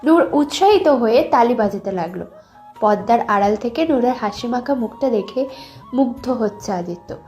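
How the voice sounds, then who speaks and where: High-voiced woman narrating a romance. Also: lively intonation, moderate pace, warm tone, soft background music. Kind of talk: scripted romantic story narration.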